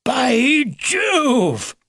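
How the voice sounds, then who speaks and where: A man voicing a kindly, excitable old grandfather beaver with a hearty, old-fashioned military manner, in a storybook reading. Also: a little high, a little slow, creaky, husky, dry recording, clean studio sound, pitched above the performer's own voice, exaggerated voice. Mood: excited, hearty. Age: older.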